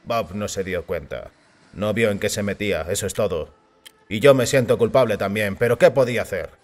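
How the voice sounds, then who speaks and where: A man voicing a kindly but firm, grieving middle-aged father in an audiobook, measured and tense. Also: lowish voice, natural voice. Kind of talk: audiobook character voice.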